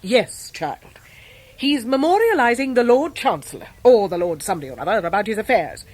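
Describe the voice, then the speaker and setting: An actress playing a brusque, eccentric older English great-aunt in a radio drama, blunt, emphatic and fiercely protective. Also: female voice, a little high, big pitch swings, brisk. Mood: indignant, fond. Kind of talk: 1990s British radio drama acting.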